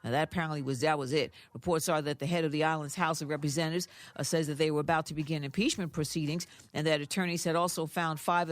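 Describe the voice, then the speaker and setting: A woman's voice: sits low, no room sound. A woman reading radio news in a conversational way, adding informal asides. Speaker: radio newsreader. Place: radio studio.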